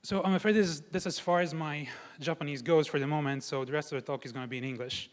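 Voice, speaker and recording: A man's voice: on the high side, steady tone, quick pace. Young man from Croatia giving a tech talk. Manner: explanatory, walks through examples step by step. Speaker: conference speaker. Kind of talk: technical conference talk. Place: large conference hall. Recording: long hall reverb.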